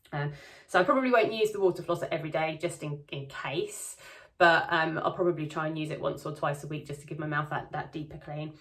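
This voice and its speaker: Woman vlogger, slightly low voice, soft lisp, rambling and chatty with lots of ums. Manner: chatty, many ums and restarts. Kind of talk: casual diary vlog. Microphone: camera close-up.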